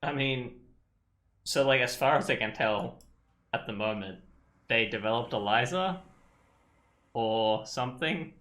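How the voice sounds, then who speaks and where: Let's play host: man, mid-pitched and bright, casual, tentative running commentary. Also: a little slow, long pauses. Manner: tentative, musing aloud. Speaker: gaming video host.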